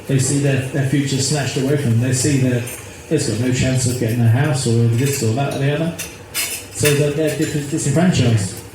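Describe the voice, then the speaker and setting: A man's voice: medium pitch, normal speed, hardly any pauses. Earnest middle-aged man, echoey noisy recording. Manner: earnest, rambling, repeats himself. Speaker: activist interviewee. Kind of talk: casual interview.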